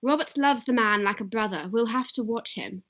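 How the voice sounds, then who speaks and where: A woman's voice: on the high side, normal speed, fairly bright tone. A woman playing an anxious, scheming noblewoman in a script table read, with an urgent, wary manner.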